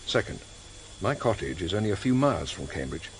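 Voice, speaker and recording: A man playing a dogged senior detective in a radio drama, deliberate and probing. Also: sits low, sing-song. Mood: brooding. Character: dogged, plain-speaking senior detective on his last case. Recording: hissy vintage radio drama tape.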